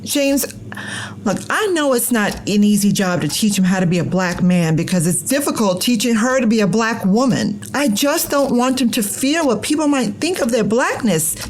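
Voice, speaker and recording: A woman's voice: a little high, animated, moderate pace, slight background noise, close to an everyday voice. A middle-aged woman playing a concerned, protective American mother in a naturalistic drama, emphatic and reproachful. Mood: pleading.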